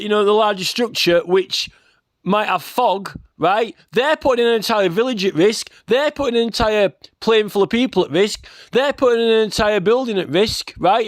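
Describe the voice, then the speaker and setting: A man's voice: high-pitched, no echo. A man giving a rambling, informal monologue, insistent and aggrieved, often tagging his points with a checking word. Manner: aggrieved, insistent, repeatedly tags points with "right?". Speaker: complainant campaigning about aviation safety.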